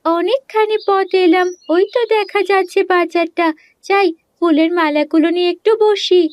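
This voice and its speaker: A woman's voice: very high voice, steady tone, normal speed, dry recording. A woman voicing a sweet, earnest young village girl in a cartoon, eager and polite in a childlike way. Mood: cheerful. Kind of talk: Bengali animated fairy-tale voice acting.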